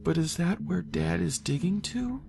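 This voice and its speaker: A man's voice: on the high side, animated, normal speed, vocal fry, over music, voice pushed up. A man voicing an anxious young boy asking questions at night in a horror story. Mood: worried, hushed. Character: anxious little brother, seven or so, questioning at night.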